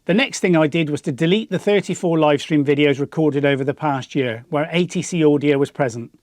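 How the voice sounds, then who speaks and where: A man's voice: a little high, a little fast, darkish tone, dry recording, studio quality. A man with a British accent presenting a scripted explanatory piece as the host of a hobby channel. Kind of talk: scripted explanatory narration to viewers.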